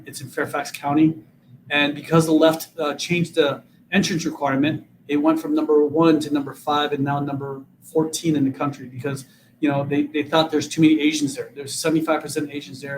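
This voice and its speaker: A man's voice: a little high, a little hiss or hum, low-quality recording. A man in his fifties, originally from Vietnam, speaking earnestly as an interview guest and often repeating words as he starts sentences. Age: 50s. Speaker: guest, political candidate.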